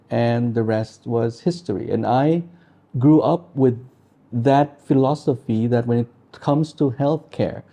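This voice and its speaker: A man in his forties from the Philippines, a doctor answering interview questions in a measured, explanatory way. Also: mid-range voice, dark tone. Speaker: integrative medicine doctor.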